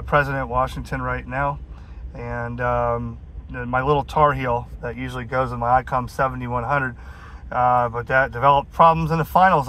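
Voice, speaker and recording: A man's voice: medium pitch, pushed and bright, slight background noise. A man calling over CB radio from a vehicle, chatting casually with slangy radio lingo. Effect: heard over CB radio. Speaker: mobile CB radio caller. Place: inside a vehicle on a highway.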